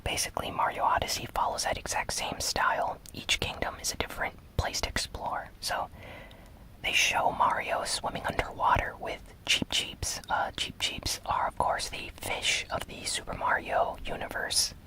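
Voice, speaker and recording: Casting: ASMR news host, fully whispered breathy delivery, soft and unhurried. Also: low-quality recording. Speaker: host of gaming news show.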